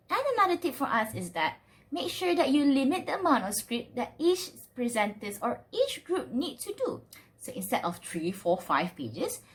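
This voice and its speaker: A woman's voice: high-pitched, fairly bright tone. A woman giving an instructional student presentation in a playful, upbeat manner, posing questions to her listeners.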